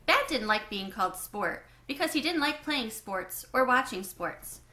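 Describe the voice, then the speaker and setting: Bright, high-voiced woman reading to children. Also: expressive, a little slow, echoey room. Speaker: read-aloud host. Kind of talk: expressive children's book narration.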